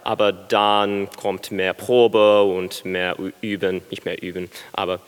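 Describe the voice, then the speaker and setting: A young American man from Michigan, a baritone student, answering interview questions in halting German with many pauses. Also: flat delivery, clear, resonant tone. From USA, Michigan. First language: English. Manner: hesitant, searching for German words. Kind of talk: live stage interview. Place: concert hall stage with audience. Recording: reverberant hall.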